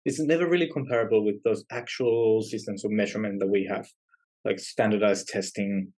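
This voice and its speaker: A young adult man with a somewhat low voice talks as a guest academic. He explains ideas in a reflective way and laughs lightly now and then. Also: steady tone, fairly quick, darkish tone. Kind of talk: academic interview conversation.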